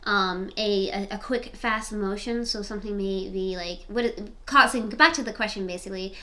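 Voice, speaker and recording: A woman's voice: medium pitch, moderate pace, pushed and bright. A woman in her twenties doing a casual, rambling tarot reading to camera, often repeating her questions. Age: 20s. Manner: chatty, rambling, repeats questions while shuffling. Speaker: tarot reader. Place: home, seated in front of camera.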